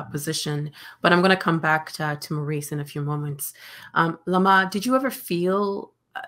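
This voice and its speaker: A woman with a very low voice moderates a panel over a video call. She keeps her questions brief and prompting. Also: fairly bright tone.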